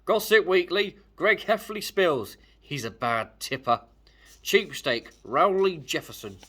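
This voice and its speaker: A man voicing loud, showy characters from a comic children's story, exclaiming in a drawn-out, theatrical way. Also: a little high, lively intonation, husky, voice pushed up, full character voice. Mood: sensational.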